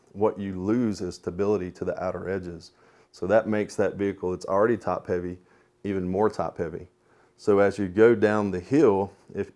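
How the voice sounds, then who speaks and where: Man, low-pitched voice, plain-spoken, methodical, instructor giving a training explanation. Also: a little mellow. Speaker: police sergeant and crash investigator, instructor.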